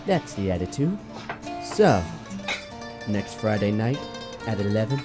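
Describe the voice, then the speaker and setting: Man playing a low-voiced, blunt gangster. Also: sing-song, measured pace. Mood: cool pressure. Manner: blunt, streetwise. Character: blunt adult male gangster, cool and pressuring. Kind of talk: radio drama acting. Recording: prominent music bed behind voice.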